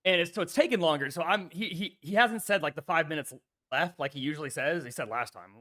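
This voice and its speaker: A man co-hosting a casual chess stream, a lively storyteller with a slightly raspy voice who acts out quotes and swears freely. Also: fairly high pitch, fast talker. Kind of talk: casual chat.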